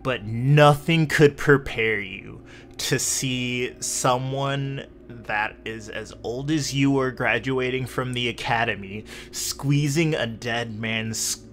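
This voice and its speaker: A man narrating a tabletop roleplaying game as game master, improvising dramatically with deliberate pauses. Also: mid-range voice, a bit bright, soft background music.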